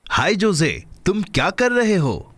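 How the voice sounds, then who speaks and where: A man voicing an eager, helpful friend in a children's cartoon dub, speaking in an earnest, animated way. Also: high voice, slow, slight background noise, heavily acted. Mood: cheerful, impressed. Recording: music behind the voice.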